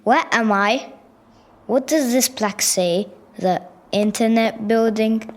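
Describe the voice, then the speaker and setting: A woman's voice: a little high, slow pace, slight background noise. A young female performer playing a sleepy, puzzled, game-obsessed school pupil in a radio play. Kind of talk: scripted radio play acting.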